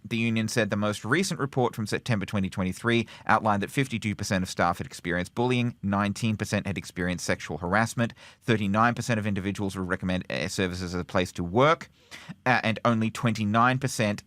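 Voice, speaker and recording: A man co-hosting a podcast, reporting news conversationally in a halting way, often rephrasing himself. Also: lowish voice, fairly bright tone, dry recording.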